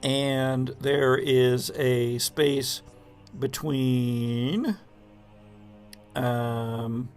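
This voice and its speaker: A man narrating an improvised scene as game master of a tabletop horror game, with halting, deliberate descriptive phrasing. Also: mid-range voice, soft background music.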